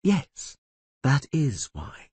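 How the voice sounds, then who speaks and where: A man voicing a proud, quick-tongued sorceress in an audiobook reading, in a husky voice with a teasing, commanding manner. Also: mid-range voice, wildly swooping pitch, darkish tone, no echo, pitched above the performer's own voice, exaggerated voice.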